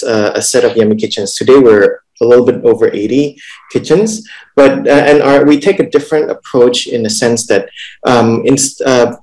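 A man in his thirties speaks as a business panelist on a video call, in a medium-pitched voice. He explains haltingly, doubling back on words and checking in as he goes. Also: a little mellow, low-quality recording. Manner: halting, repeats words, often checks with "right?". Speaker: startup executive, panelist.

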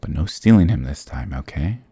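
Very deep, gravelly flirty goth guy, acted by a man. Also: expressive, brisk, dark tone, dry recording, studio quality, heavily acted. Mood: mock-dramatic, teasing. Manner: teasing, affectionate, mock-dramatic. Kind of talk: intimate ASMR roleplay acting.

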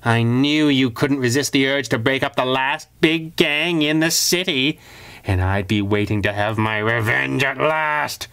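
A man's voice: medium pitch, unhurried, a bit bright, clean tone. A man voicing a snarling, vengeful gangster villain. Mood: snarling, gloating. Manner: snarling, gloating.

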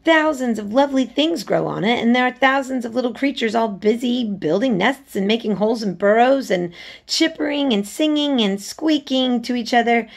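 A woman voicing an earnest, outspoken young girl in a storybook, explaining things in an animated way. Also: on the high side, moderate pace, vocal fry, voice pushed up. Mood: enthusiastic.

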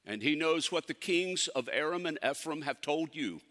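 A man performing a theatrical monologue as a solemn, commanding ancient prophet, speaking deliberately with dramatic pauses. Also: on the high side, expressive, fairly bright tone. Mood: fervent, challenging. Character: prophet Isaiah, solemn, commanding, counseling a fearful king. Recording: echoey hall with long reverb.

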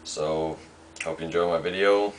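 A young man with a very low voice talks through a casual home demo. He is laid-back and halting, leaning on filler words. The amateur camera mic picks up plenty of noise around him in the small room. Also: steady tone. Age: young adult. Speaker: amateur musician demonstrating gear. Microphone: handheld camera's built-in microphone. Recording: noisy amateur camera microphone.

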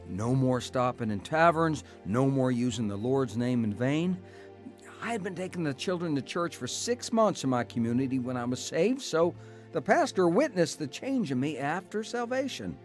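Earnest church-going guy played by a male actor telling his story in a radio drama, really expressive. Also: medium pitch, moderate pace, faint music behind, natural voice. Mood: earnest, joyful. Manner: earnest, heartfelt. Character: earnest, devout married man telling his conversion. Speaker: narrator and lead actor. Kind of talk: radio drama acting and first-person narration.

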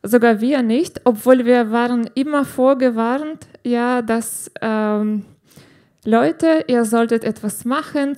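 A woman in her thirties from Ukraine, with a Ukrainian accent, doing a stand-up set on a club stage into a handheld mic, halting and restarting as she searches for words. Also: a little high, darkish tone. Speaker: stand-up comedian. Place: comedy club stage with live audience.

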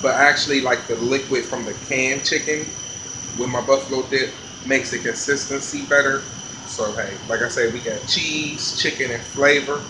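A man, a chef filming a casual vlog in a commercial kitchen, talking in relaxed slang. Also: medium pitch, normal speed, background noise. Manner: laid-back slangy hype talk. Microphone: handheld phone camera.

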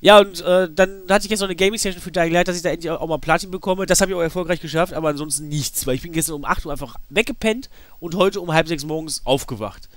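A man's voice: on the high side, fast, no room sound. A man with a slight cold giving rambling, informal, slangy let's play commentary. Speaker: let's player. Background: video game music.

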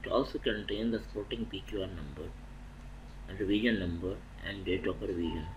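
Male instructor, low-pitched, measured halting delivery over slides, faint hiss behind. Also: poor audio. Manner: methodical, halting, restarts mid-phrase. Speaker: welding inspection instructor. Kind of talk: slide-based technical lecture.

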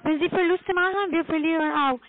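Very high-voiced woman with a foreign accent. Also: steady tone, brisk. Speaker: telemarketing caller, trading broker. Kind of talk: sales pitch over the phone. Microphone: telephone call. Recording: tinny narrow-band phone line.

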